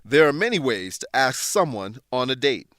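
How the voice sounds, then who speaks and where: A man with a mid-pitched voice presents a scripted English lesson. He explains terms in a deliberate, teacherly way. The recording is dry, with hardly any room sound. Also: sing-song, fairly bright tone, no echo. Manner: instructional, deliberate word-by-word explanations. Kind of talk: scripted educational narration.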